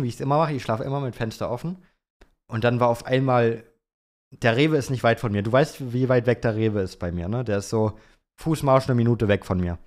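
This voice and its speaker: A young adult man co-hosting a podcast in casual, slangy conversation, repeating phrases for emphasis. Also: mid-range voice. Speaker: podcast co-host.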